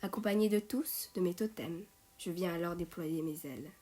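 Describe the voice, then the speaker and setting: Woman reading a poem line by line, measured delivery, some music under it. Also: lowish voice, warm tone. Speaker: poet reading own poem. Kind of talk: poetry recitation.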